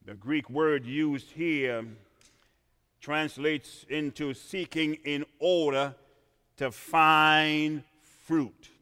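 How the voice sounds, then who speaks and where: A man from Trinidad preaching a sermon in a church, swinging from quiet asides to shouted emphasis. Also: fairly high pitch, fairly even intonation, drawn-out words, smooth. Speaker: pastor. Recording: reverberant church hall.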